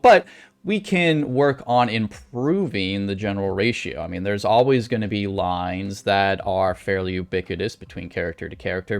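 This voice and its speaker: A man giving casual writing advice as an author, in a folksy, conversational way with emphasis on key points. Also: lowish voice. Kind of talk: casual instructional vlog talk.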